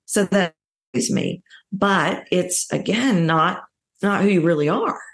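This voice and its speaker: A woman's voice: lowish voice, animated, takes it slowly, gravelly. A middle-aged woman with a Texan accent talking emphatically in a conversational interview as a voice coach. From Texas, United States. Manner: emphatic, illustrates points with acted-out quotes. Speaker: voice coach, podcast guest.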